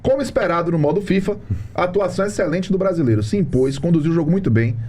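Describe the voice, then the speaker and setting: A man hosting a live sports talk show, energetic, steering the talk and reading out posts. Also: fairly high pitch, quick pace. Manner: energetic, directs the producer, reads posts aloud. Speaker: show host.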